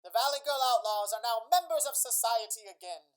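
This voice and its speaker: A woman's voice: a little high, expressive, fairly quick, smooth, natural voice. A woman playing a showy radio announcer, narrating a scripted drama in a theatrical, presentational old-time style. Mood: dramatic storytelling.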